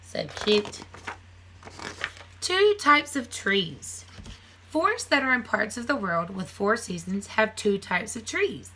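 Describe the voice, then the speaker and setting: Bright-voiced woman reading aloud to children. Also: mid-range voice, very animated, slow pace, slight background noise, rough recording. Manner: simple, clearly phrased teacherly delivery addressed to young children. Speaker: teacher reading aloud to a class. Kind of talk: children's nonfiction read-aloud with casual asides.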